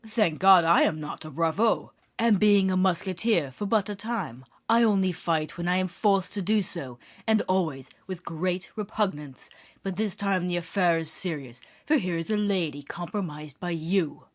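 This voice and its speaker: A woman reading an audiobook, voicing a young musketeer with churchly airs, polished, ironic and measured. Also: on the low side, lightly acted.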